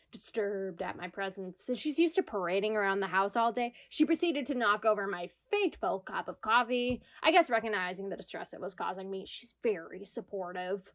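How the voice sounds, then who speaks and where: A woman's voice: medium pitch, a bit bright, close to an everyday voice. A woman acting an anxious, self-deprecating single New Yorker in a comedic audio drama monologue about herself. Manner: self-deprecating, wry, confessional. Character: anxious single New York woman spiraling into existential crisis. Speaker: lead actor in radio comedy.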